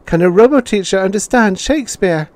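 An eager young pupil, voiced by a male narrator, pipes up with questions in a high, raised voice. The tone is keen and curious. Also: lively intonation, brisk, a little mellow, dry recording.

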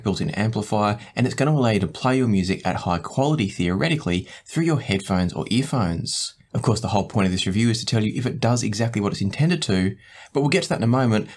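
A man, hosting a product review in a measured, explanatory way. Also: on the low side. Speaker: host, audio gear reviewer. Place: small indoor home studio room.

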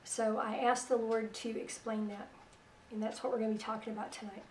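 A middle-aged woman hosting religious internet radio. Her voice is weak after a stroke, and she talks in a soft, plain-spoken, unhurried way. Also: a little high, little pitch movement, reverberant, distant mic, a little hiss or hum, poor audio. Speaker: radio host. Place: small room at home, indoors. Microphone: headset.

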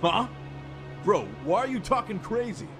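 An actor playing an excitable, slangy male street tough who grumbles in a friendly way, in a video game. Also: sits high, unhurried, music in the background. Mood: alarmed. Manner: slangy, animated. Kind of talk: video game dub acting.

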